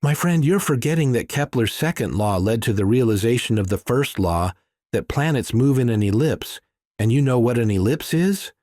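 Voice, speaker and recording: A man's voice: mid-range voice, animated, moderate pace, darkish tone, no room sound, voice pushed up, natural voice. A man narrating an audiobook plays an awkward, earnest Indian man in a thriller. He is wry and a little stiff, in a close, dry recording. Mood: playful, wry.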